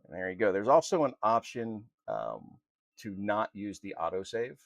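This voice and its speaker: Low-voiced man giving an informal software walkthrough. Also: wildly swooping pitch, creaky, dry recording. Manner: informal, halting step-by-step instruction. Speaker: software tutorial presenter. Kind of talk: unscripted screen-recorded walkthrough.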